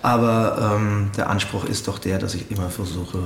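A man giving reflective interview answers as a voice actor, halting and hesitant as he searches for words. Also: on the low side, steady tone, vocal fry. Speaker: interview guest, audio drama voice actor. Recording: roomy echo with faint background noise.

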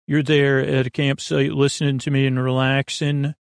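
A man hosting a bedtime podcast in a meandering, halting monologue, trailing off mid-sentence and gently reassuring the listener. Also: medium pitch, flat delivery, gravelly, clean tone, no room sound, clean studio sound. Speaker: podcast host.

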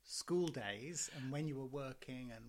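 A man's voice: medium pitch, measured pace, darkish tone. A man interviewing someone in a casual, tentative and appreciative way.

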